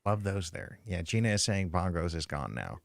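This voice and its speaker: A low-pitched man hosts a casual live discussion, reading out viewer comments as he goes. His voice is dry and close, and he stresses his points by repeating words. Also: sing-song, normal speed, vocal fry, dry recording. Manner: chatty, emphatic, repeats words for effect. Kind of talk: casual live-stream talk.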